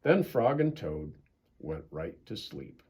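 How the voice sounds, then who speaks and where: A man's voice: sits low, sing-song, slow, warm tone, husky. An older man with an American accent, a pastor reading a children's storybook aloud and chatting casually.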